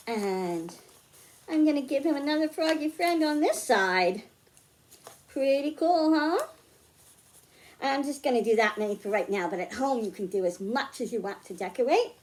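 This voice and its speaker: A woman's voice: on the high side, darkish tone. A woman hosting a children's storytime, speaking in simple, encouraging terms to young kids, with sing-song emphasis when reading and explaining. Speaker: children's librarian and storytime host. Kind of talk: children's storytime and craft demonstration.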